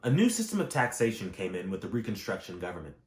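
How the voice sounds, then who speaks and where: A man reading historical nonfiction aloud in a measured, formal way, phrasing each clause clearly. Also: on the low side, huge pitch swings. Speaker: audiobook reader. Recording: roomy, echoing voice recording.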